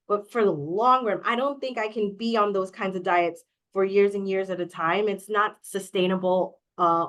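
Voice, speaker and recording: A woman's voice: mid-range voice, a bit bright. A woman from Hawaii talking as a guest in a casual interview, speaking openly and candidly with many "um"s. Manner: open, candid, with many fillers. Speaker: guest, coaching client.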